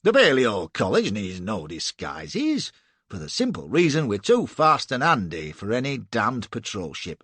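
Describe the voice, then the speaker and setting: A man voicing a cold, menacing middle-aged slave-ship captain in an audiobook, quietly threatening and commanding. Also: fairly low pitch, breathy edge, no room sound, heavily acted. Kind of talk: audiobook character voice.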